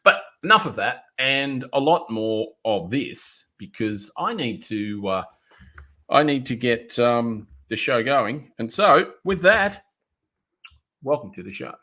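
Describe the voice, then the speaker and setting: A man's voice: mid-range voice, sing-song, slow, bright, forceful tone. A man hosting a podcast in casual chat, rambling with many ums and playful restarts. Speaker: podcast host.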